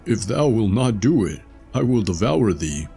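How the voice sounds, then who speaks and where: A man's voice: lowish voice, very animated, moderate pace, gravelly, dark tone, breathy edge, faint music behind, heavily acted. A man voicing a sly, deceiving male wolf in a read-aloud storybook, falsely sweet and wheedling. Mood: demanding.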